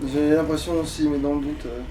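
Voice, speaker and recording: A man's voice: a little high, level tone, a little fast, distant mic, noisy background. A man chatting casually as he plays a game, thinking aloud in slang with some swearing. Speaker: gamer playing. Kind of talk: casual Let's Play chat.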